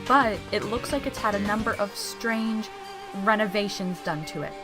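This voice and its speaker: A woman voicing a calm, secretive teenage girl investigator, matter-of-fact, in an anime game fan dub. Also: mid-range voice, moderate pace, over music, lightly acted. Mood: cool, detached.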